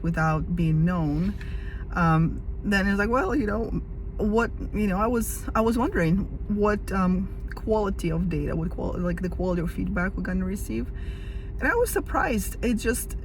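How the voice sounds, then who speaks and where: A woman with a slightly rough, worn voice, chatting casually and enthusiastically as a project management coach and often adding "right?" to her points. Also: fairly low pitch, a little hiss or hum, low-quality recording. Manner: enthusiastic, chatty, frequent "right?" tag questions. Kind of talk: casual talk to camera.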